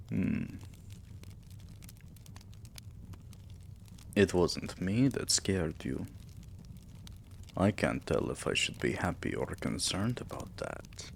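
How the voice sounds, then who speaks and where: A man playing a possessive, tender yet menacing vampire king in an intimate ASMR roleplay, murmuring softly and dipping into whispers. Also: low-pitched, sing-song, a little hiss or hum, heavily acted. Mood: concerned, teasing. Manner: soft, slow, breathy murmurs dipping into whispers.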